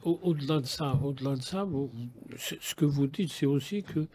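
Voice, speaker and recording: A man's voice: a little high, moderate pace, darkish tone, a little hiss or hum. A man hosting a radio interview, asking long, rambling questions with many halts and restarts. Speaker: radio host.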